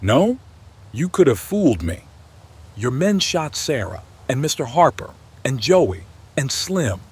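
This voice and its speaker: Man acting a wry colony leader, animated pitch. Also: measured pace, husky, exaggerated voice. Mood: bitter. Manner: wry, pointed. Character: wry, weary civilian leader of outcast colony. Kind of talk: dramatized audio comic dub.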